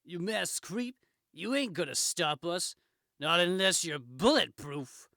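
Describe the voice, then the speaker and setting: A man voicing brash comic-book gunmen, menacing and excitable, shouting tough talk. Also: high-pitched, takes it slowly, vocal fry, no echo, studio quality, pitched above the performer's own voice, full character voice.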